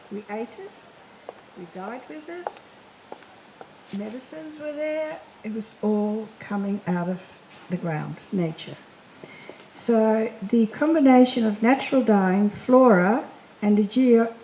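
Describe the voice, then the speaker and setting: An older woman from Indonesia with a mellow, fairly high voice, speaking reflectively. She is heard in an echoey room with a faint murmur behind her. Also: gravelly, slight background noise. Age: 70s. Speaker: panel speaker. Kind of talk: self-introduction at a panel.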